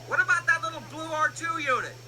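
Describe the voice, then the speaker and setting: A man's voice: extremely high pitch, quick pace, bright, forceful tone, full character voice. An actor playing an earnest, restless young farm boy in a 1980s radio drama, eager and plain-spoken. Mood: calling out. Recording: very noisy old radio drama playback.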